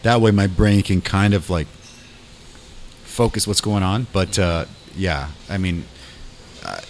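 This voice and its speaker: Deep-voiced man in casual bro-ish chat. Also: measured pace, slight background noise. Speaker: podcast host.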